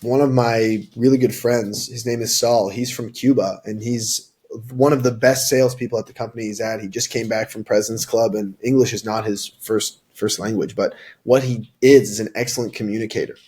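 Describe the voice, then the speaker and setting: A husky, slightly low-pitched young man talks loosely and informally, stumbling into restarts and leaning on "like" and "you know." He sounds like a sales rep giving candid career advice in an interview. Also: fairly even intonation, a little fast, a little mellow. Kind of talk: casual interview answers.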